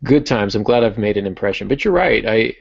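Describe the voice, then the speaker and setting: Male podcast co-host, middle-aged, creaky and reflective, self-deprecating, on lo-fi audio. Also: lowish voice, animated, fairly quick, no room sound. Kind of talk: casual literary discussion.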